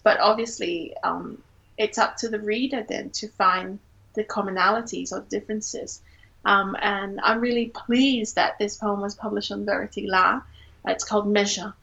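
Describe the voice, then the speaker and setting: Thoughtful woman from Singapore, creaky voice. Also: mid-range voice, steady tone, moderate pace, fairly bright tone, highs cut off. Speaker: poet and teacher, interview guest. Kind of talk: reflective literary interview talk.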